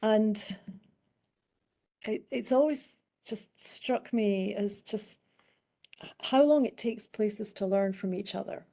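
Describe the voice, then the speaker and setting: British lady, middle-aged, mellow warm voice, talks it through slowly with lots of restarts and ums. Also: medium pitch, vocal fry. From United Kingdom. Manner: thoughtful, halting, with many restarts and fillers. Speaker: guest expert in public health. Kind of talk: conversational panel answers.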